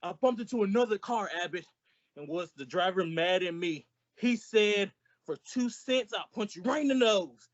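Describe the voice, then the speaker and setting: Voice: medium pitch, lively intonation, normal speed, dull audio, natural voice. A performer playing a bumbling, easily confused male sidekick in an old-time radio comedy, full of wisecracking retorts.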